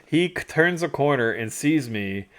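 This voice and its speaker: A man co-hosting a livestream, chatting casually through stories and photos from a trip, animated when he retells moments. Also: medium pitch, moderate pace. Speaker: livestream co-host. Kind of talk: casual storytelling chat over travel photos.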